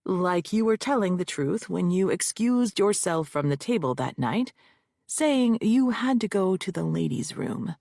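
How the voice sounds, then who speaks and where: A woman's voice: on the low side, sing-song, unhurried, creaky, darkish tone, dry recording, clean studio sound, exaggerated voice. A woman voicing a male defense lawyer, firing off cold, pointed questions in a cross-examination. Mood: needling. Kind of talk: audiobook character reading.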